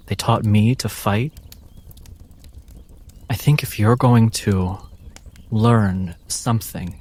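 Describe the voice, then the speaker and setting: A man playing a gentle, grave former fighter in a tabletop game, speaking in a measured, reassuring way. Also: on the low side.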